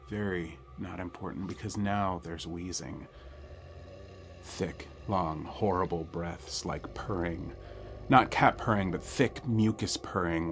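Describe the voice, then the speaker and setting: A man narrating a first-person horror audio drama in a wry, suspenseful way with dramatic pauses. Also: fairly low pitch. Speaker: storyteller narrating a nightmare.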